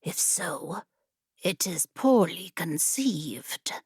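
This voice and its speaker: A woman acts out an ancient female genie from a fantasy storybook, menacing and predatory. Her words come very slowly in a breathy growl that sinks toward a whisper, captured close and dry. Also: on the low side, lively intonation, darkish tone, no echo, voice pushed down, exaggerated voice. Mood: suspicious, growling. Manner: slow, drawn-out, deliberate delivery. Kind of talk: fantasy audiobook character acting.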